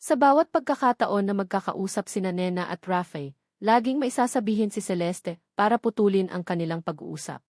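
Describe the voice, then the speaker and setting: A woman reading a scripted romance story as narrator, in a measured storytelling delivery. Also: mid-range voice, animated, a little mellow, no room sound, clean studio sound.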